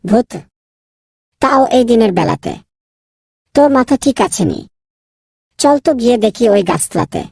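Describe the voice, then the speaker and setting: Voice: mid-range voice, expressive, unhurried, pauses often, a little mellow, slightly rough, dry recording, clean studio sound. A performer voicing a kind, bold young boy in a children's cartoon, insistent and polite to elders. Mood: curious, bold. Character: kind, bold young boy Nilu, bossy with his friend. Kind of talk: children's moral cartoon dubbing.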